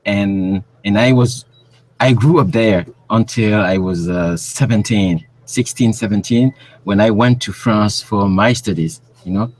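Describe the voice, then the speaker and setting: A man's voice: on the low side, a little slow, darkish tone, poor audio. A man from Cameroon, a guest researcher speaking on a remote call, who often stops to search for words. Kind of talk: interview on a call.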